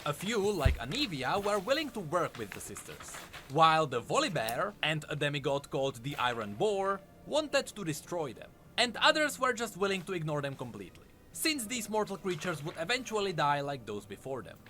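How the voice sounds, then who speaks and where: A man's voice: on the high side, sing-song, normal speed, few pauses. A man reading scripted narration, telling fantasy game lore as a story. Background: background music under the voice.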